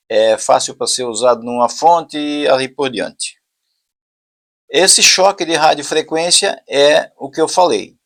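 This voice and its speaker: A man's voice: fairly high pitch, a bit bright. A man with a Brazilian accent giving an informal technical tutorial, talking conversationally and step by step as a hobbyist presenter.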